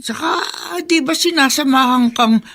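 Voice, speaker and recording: A woman's voice: high-pitched, a bit bright. An actress playing a concerned, coaxing older woman visiting a friend in a radio drama, with a Filipino accent. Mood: friendly, coaxing. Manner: coaxing, inquisitive, unhurried. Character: concerned, chatty elderly female friend.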